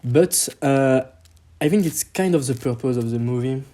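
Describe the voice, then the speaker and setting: A man's voice: mid-range voice, normal speed, dark tone. A twenty-year-old man with a French accent chatting casually and hesitantly as a podcast guest, sometimes slipping into French. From Paris, France. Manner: hesitant, slips into French mid-sentence. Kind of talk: casual chat.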